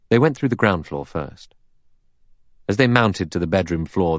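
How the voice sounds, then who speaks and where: A man narrates a literary audiobook in a low voice. The reading is scripted prose, and the recording is close and dry, with no room sound. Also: dry recording.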